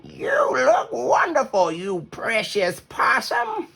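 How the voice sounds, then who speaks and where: Man doing an excitable, high-voiced old granny possum. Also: creaky, breathy edge, full character voice. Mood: delighted, fond. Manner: exclamatory, drawn-out words. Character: excitable old grandmother possum, kindly and encouraging. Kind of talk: children's storybook character voice.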